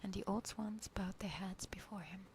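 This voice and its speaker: Young woman whispering close, slightly gravelly. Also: mid-range voice, moderate pace, a little hiss or hum. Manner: soft whispering, chatting with chat. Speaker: ASMR streamer. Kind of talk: whispered ASMR story reading.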